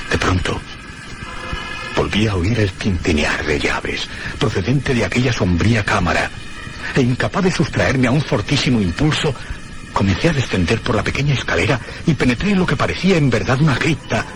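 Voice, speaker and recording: A man playing a solemn, haunted narrator in a radio horror drama, with suspenseful, deliberate dramatic phrasing. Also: on the low side. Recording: noisy radio broadcast recording.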